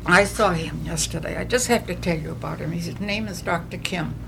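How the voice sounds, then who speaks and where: A woman's voice: on the low side, a little fast, creaky, noisy background. An older woman with a New England accent gives earnest personal testimony at a recovery meeting, telling her story with frequent restarts.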